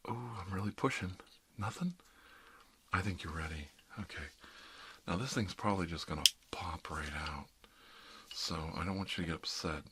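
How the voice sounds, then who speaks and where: A man's voice: deep voice, pushed and bright, slight background noise. A man doing an ASMR roleplay as a dentist, rambling casually and wryly while reassuring his patient. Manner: wry, conversational, reassuring patter to a patient. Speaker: ASMR performer playing a dentist.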